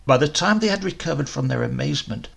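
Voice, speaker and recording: Expressive male storyteller with a gravelly, husky voice. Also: medium pitch, fast. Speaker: story reader. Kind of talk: scripted literary narration of a crime tale.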